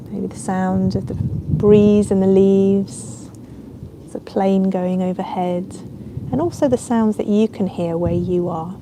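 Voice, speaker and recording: A woman leading a guided relaxation as a yoga nidra teacher, speaking in a soothing, unhurried, gentle way with ambient sound around her. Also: medium pitch, background noise.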